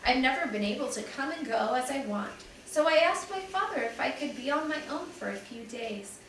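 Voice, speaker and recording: A woman's voice: sits high, lively intonation, normal speed, fairly bright tone, a little hiss or hum. A woman voicing a polite young girl who explains herself earnestly in a storybook read aloud. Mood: explaining. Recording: echoey room, mic at a distance.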